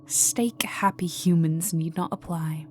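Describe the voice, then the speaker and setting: A woman playing a cold, haughty vampire aristocrat in a dark ASMR roleplay, condescending and teasing, often dropping to a whisper. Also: on the low side, fairly even intonation, a little slow, slight background noise, natural voice. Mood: disdainful. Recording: voice over dark ambient music. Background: dark atmospheric music with resonant tones.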